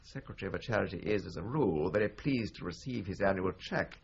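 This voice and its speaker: An actor playing a pompous, guarded English solicitor in a radio drama, speaking with pedantic, formal precision. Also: male voice, low voice, lively intonation, quick pace, vocal fry, highs cut off, a little hiss or hum. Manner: pedantic, haughty, formal enumeration. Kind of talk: 1980s radio drama acting.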